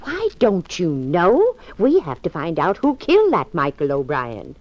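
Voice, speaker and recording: A prim, meddling old woman with a lively, bouncing voice, old lo-fi radio sound. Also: on the low side, a little slow, exaggerated voice. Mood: scheming. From Muncie. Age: older. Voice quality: chirpy, fussy, quaintly prim. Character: spry, meddling old woman hooked on detective stories. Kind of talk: 1940s radio acting. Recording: dull lo-fi 1940s radio broadcast.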